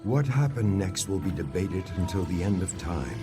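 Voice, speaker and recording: A man performing as a solemn narrator of ancient Greek legend in a game, with a grave, measured, theatrical delivery. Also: low voice, sing-song. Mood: grave, wry. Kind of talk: dramatic scripted epic narration. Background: music.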